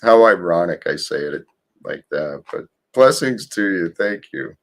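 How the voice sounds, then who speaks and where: A man hosting a livestream, talking slowly and calmly about faith and gratitude in a soothing, gently preaching manner. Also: low-pitched. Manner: slow, calm, gently preaching. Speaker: livestream host. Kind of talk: spiritual livestream talk.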